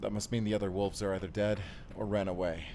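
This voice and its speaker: A man acts a resolute monster hunter. He is intense and commanding, delivering deliberate lines in a cinematic audio roleplay over noisy ambience. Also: fairly low pitch, flat delivery, natural voice. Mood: relieved, resolute. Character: grim, determined male werewolf hunter.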